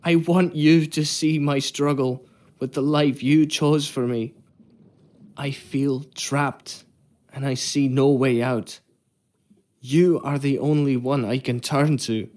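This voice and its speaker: Man acting a troubled, slow-spoken young ship's boy. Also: medium pitch, steady tone, a little mellow, close to an everyday voice. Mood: pleading, despairing. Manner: pleading, hesitant, earnest. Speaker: actor in a narrative adventure game. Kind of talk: period drama game acting.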